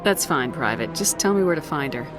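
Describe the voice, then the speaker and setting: An actress voicing a blunt, impatient military commander. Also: female voice, sits low, expressive, fairly quick. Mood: curt. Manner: blunt, curt. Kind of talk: video game voice acting. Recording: game audio over music.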